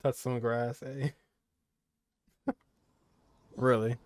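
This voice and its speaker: A man's voice: mid-range voice, little pitch movement, drawn-out words, a little mellow, slight background noise. A man giving casual, off-the-cuff commentary as he streams a game and reads dialogue choices aloud. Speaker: gaming streamer.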